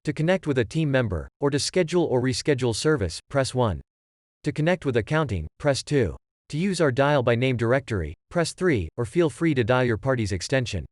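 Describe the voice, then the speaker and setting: Phone greeting voice: male, medium pitch, scripted delivery, no room sound. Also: sing-song, a little fast, no echo, clean studio sound.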